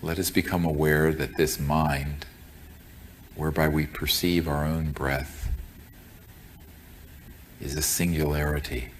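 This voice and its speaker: A man with an extremely low voice guides a group through meditation. His delivery is very slow and solemn, with deliberate, measured phrasing. Faint noise and room ambience sit behind him. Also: level tone. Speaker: meditation teacher.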